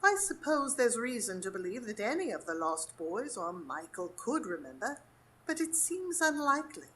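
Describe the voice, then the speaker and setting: A woman's voice: a little high, big pitch swings, normal speed, a bit bright. A woman playing a worried, dignified older grandmother in a radio drama, speaking politely. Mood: subdued. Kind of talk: live radio drama acting. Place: performance space in front of a live audience.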